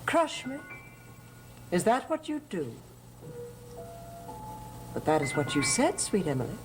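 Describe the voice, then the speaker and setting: Grand, swooping theatrical diva. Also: female voice, lowish voice, sing-song, measured pace, poor audio. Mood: mocking. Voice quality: affected, theatrical delivery. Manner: drawling endearments, arch and condescending. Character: grand, affected stage actress, mocking and theatrical. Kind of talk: gothic soap opera acting. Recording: dramatic music behind the voice.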